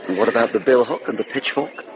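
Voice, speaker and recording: An actor playing a grave, dutiful medieval officer in a radio drama, speaking in a measured, formal way. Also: male voice, mid-range voice, muffled recording, crowd noise, lightly acted. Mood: measured, wry. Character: grave, dutiful medieval lord and sheriff's officer, war-weary. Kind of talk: British radio drama acting.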